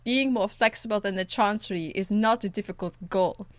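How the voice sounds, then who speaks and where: A woman's voice: medium pitch, normal speed, no echo, close to an everyday voice. A woman voicing a blunt, stern and dutiful warrior in a video game, speaking in a curt, earnest, formal way. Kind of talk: video game dialogue acting.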